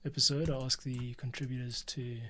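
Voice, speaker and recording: A man's voice: mid-range voice, level tone, brisk, pushed and bright. A man hosting and editing a podcast, thinking aloud in casual commentary that drops into muttered asides. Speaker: podcast host and editor. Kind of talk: casual screen-recording commentary. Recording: faint music and keyboard typing behind. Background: faint music, computer keyboard typing.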